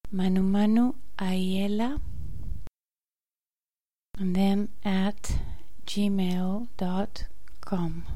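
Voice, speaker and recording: A woman reading both sides of short English lesson dialogues and repeating each line clearly for learners. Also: mid-range voice, warm tone, no room sound. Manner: slow, carefully spoken repetitions. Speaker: English teacher.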